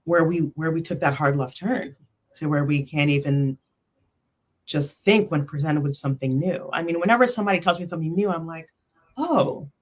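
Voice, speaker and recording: Woman, low register, conversational panelist, emphatic, voices her own thoughts like dialogue. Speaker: panelist on a spiritual talk livestream. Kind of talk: casual panel chat.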